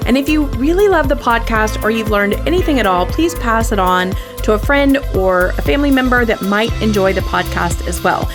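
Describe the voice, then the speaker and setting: A woman hosting a podcast, giving an upbeat, warm scripted sign-off. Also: a little high, lively intonation, moderate pace, hardly any pauses. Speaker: podcast host. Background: music.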